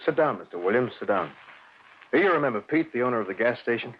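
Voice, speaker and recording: A man's voice: medium pitch, animated, fast, slight background noise, natural voice. A man playing a sly police interrogator. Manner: insinuating, mock-polite. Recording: muffled old radio recording.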